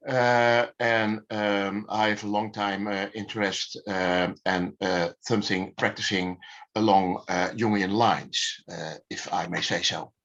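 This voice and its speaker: A man from the Netherlands, a clinical psychologist, introducing himself haltingly with many "uh"s. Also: lowish voice, fairly bright tone, muffled recording. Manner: halting, full of uh. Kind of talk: self-introduction on a call.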